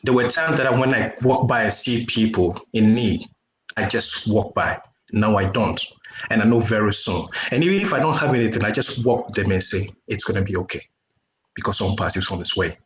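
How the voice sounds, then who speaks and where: Male panelist, low voice, earnest and deliberate, roomy echoing sound. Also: animated. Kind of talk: unscripted personal testimony. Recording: roomy echo with noise and clicks.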